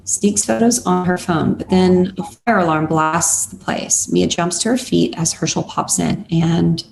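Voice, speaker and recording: Low, creaky-voiced woman reading stage directions. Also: steady tone, unhurried, slight background noise, poor audio. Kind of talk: scripted narration at a screenplay table read.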